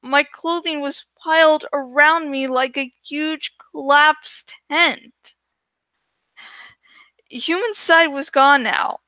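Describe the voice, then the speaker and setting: Slow, high-pitched woman reading a story. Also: fairly even intonation, sharp tone, no room sound. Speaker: audiobook reader. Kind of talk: expressive reading of a young adult novel in first person.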